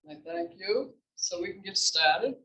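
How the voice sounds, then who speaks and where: A woman's voice: mid-range voice, animated, measured pace, sharp tone. A woman playwright giving an informal introductory speech about her work. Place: library room before an audience. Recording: echoey room, distant mic.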